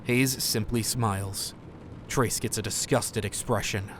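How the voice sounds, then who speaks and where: A man's voice: lowish voice, fairly even intonation, a little slow, noisy background. A man reading scripted scene narration for an audio drama.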